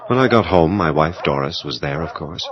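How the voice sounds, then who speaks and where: An actor playing a self-absorbed scientist in an audio horror drama, speaking in formal, precise, clinical phrases. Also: male voice, rumbling low, lively intonation, fairly quick, over music. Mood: wry, reflective. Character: self-absorbed male scientist, coolly clinical, obsessed with his work. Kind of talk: audio horror drama acting with first-person narration. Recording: dull lo-fi audio with faint noise.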